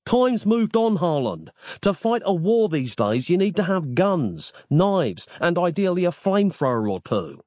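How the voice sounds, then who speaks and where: A man's voice: sits high, big pitch swings, a little slow, few pauses, dark tone, no echo, clean studio sound, voice pushed up. A man voicing a brash, impatient modern young man who talks bluntly. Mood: exasperated.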